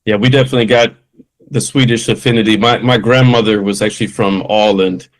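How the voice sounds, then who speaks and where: A man's voice: lowish voice, fairly even intonation, a little fast. A man hosting a podcast who asks long, rambling, enthusiastic questions. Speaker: podcast host. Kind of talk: casual interview chat.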